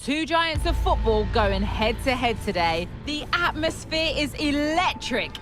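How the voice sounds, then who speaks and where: A woman's voice: sits high, animated, measured pace. A woman presenting a scripted broadcast preview of a football match, as a TV presenter. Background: very loud music.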